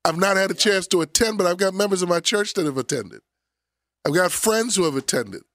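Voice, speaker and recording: A pastor in his forties hosts a radio talk show, his voice nasal and scratchy from a cold. He talks in a playful, preacherly way, pitched a touch high, close and dry on the mic. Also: expressive, fairly quick, a bit bright, no room sound. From Philadelphia area.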